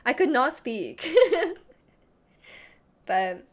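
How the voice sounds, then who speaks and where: A woman's voice: fairly high pitch, sing-song, extremely slow. A young woman streamer with a slight lisp, chatting casually and rambling with lots of "like" while reading chat messages. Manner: rambling, heavy use of "like".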